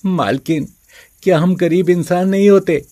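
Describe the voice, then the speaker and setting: A man's voice: high voice, unhurried, mellow. An actor playing a poor, meek male servant who pleads humbly in a story. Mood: timid, puzzled.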